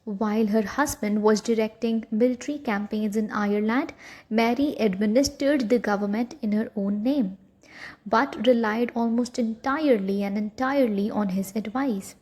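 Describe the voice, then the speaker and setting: A woman's voice: on the high side, moderate pace, darkish tone. A woman reading scripted educational narration for a short history film.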